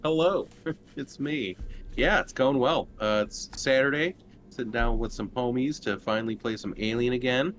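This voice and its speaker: A man's voice: medium pitch, moderate pace, fairly bright tone, a little hiss or hum. A man chatting casually and jokingly as a player on a tabletop game show. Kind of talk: casual chat, introducing himself.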